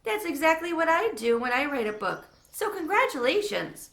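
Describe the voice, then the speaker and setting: Reader doing a friendly lady author in a storybook, encouraging, bright n lifted, roomy sound. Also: high-pitched, big pitch swings, normal speed, voice pushed up, full character voice. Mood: delighted. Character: friendly visiting woman author, chuckling and encouraging.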